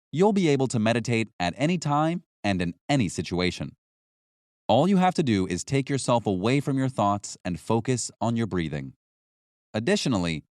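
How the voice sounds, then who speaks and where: A man reading scripted nonfiction as an audiobook narrator, with a measured, instructional delivery. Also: mid-range voice, no room sound, studio quality.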